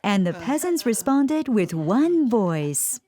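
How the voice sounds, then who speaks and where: A woman narrating a children's bedtime story in a gentle, expressive way. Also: on the high side, slow pace. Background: children's music.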